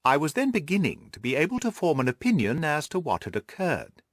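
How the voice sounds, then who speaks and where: A man reading the part of a shrewd, self-assured gentleman detective, precise and methodical, in an audiobook. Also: on the high side, wildly swooping pitch, fairly quick, no echo, studio quality, voice pushed up.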